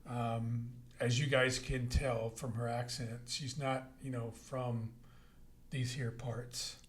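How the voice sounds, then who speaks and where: A man hosting a real estate podcast, chatting in a relaxed, rambling way with frequent fillers. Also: medium pitch, slow, slight background noise. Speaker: podcast host. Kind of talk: casual podcast chat.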